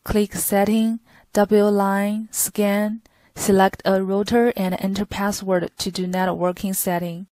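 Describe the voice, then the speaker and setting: A woman reading a scripted instructional product tutorial in a flat, even delivery. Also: mid-range voice, slow, no room sound.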